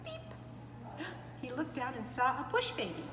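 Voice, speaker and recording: A woman's voice: high voice, wildly swooping pitch, a little slow. A woman reading a story aloud to young children, expressively and with chatty asides to her listeners. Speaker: preschool storyteller. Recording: echoey reverberant room, clear background noise.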